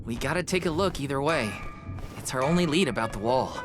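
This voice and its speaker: Game casting: actor as a practical young male lead, casual and easygoing, lively inflection, music underneath. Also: mid-range voice, fairly quick, natural voice. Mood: curious. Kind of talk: video game voice acting.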